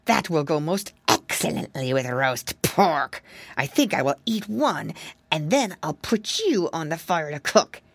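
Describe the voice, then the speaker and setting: A woman's voice: deep voice, animated, a little slow, breathy edge, full character voice. A snarling bad fox, as a woman reads him. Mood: greedy. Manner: snarling, gloating. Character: sly greedy male fox, big and bad.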